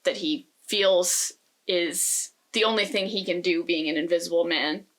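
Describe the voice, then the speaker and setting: A woman's voice: lowish voice, fairly even intonation, measured pace. A woman co-hosting a casual, conversational discussion about books. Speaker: podcast co-host.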